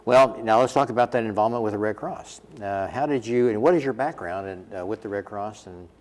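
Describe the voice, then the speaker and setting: A man's voice: fairly low pitch, a little fast, a little mellow. A man hosting a local TV interview show, chatting friendly with frequent fillers and restarts. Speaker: host, chapter executive director. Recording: echoey studio with faint hiss.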